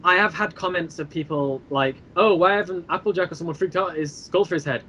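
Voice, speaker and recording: A man's voice: fairly high pitch, brisk, sharp tone. A man in casual discussion as a podcast guest, explaining his points and acting out imagined reactions. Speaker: podcast guest, creator of an audio drama series. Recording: muffled band-limited mic with clear background noise.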